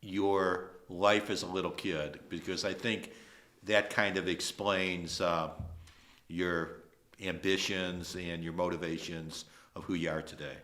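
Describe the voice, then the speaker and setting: A middle-aged man from Ohio, an attorney, hosting a casual interview in a relaxed way with plenty of ums and uhs. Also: sits low, a little slow. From Toledo, Ohio. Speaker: host, attorney.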